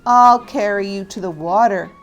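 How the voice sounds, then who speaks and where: A woman's voice: medium pitch, moderate pace, faint music behind, natural voice. A woman voicing a caring, protective mother alligator in a children's storybook, tender and earnest.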